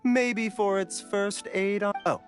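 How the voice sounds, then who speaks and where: A man voicing a polite, unruffled young pharmacist in a video game, courteous and gently musing. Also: sits high, steady tone, slow pace, soft background music. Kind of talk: English video game voice acting.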